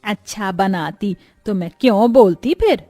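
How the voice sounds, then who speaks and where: A woman's voice: medium pitch, lively intonation, unhurried, warm tone, no echo, soft background music. A woman voicing a bitter elderly mother-in-law who scolds, taunts and complains theatrically in a storybook tale. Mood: angry. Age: older. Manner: scornful, self-pitying, theatrical complaining.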